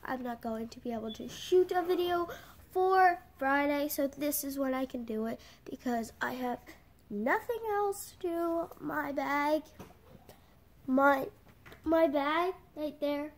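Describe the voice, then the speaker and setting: High-voiced young vlogger, unhurried. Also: female voice. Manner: chatty, rambling with fillers. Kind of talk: casual vlog. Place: small bedroom indoors.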